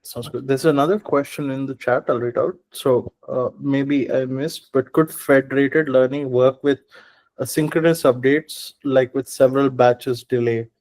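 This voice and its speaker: A man moderates an online talk, putting questions to the speaker. He picks his words slowly, with many "uh"s. His voice sits at an ordinary pitch. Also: steady tone, a little mellow, rough recording. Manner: hesitant, many "uh"s. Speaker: seminar moderator. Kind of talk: asking questions.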